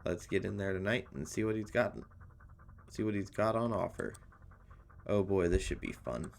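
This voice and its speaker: A man's voice: on the low side, normal speed, creaky, slight background noise. A man reading a visual novel's part of a scheming small-time robber, eager for gold, in a slangy, conspiratorial way. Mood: greedy, eager.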